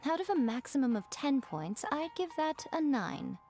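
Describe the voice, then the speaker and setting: A woman's voice: high voice, very animated, normal speed, a little mellow. A woman voicing a sly, elegant fox-spirit lady in a video game, self-assured and teasing, with a poised, condescending way of talking. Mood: amused, approving. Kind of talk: video game character voice acting. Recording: background music.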